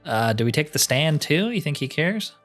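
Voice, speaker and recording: A man's voice: fairly high pitch, very animated, moderate pace, a bit bright. A man giving casual, wry video game commentary, thinking aloud as he plays. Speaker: gaming video host. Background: game music.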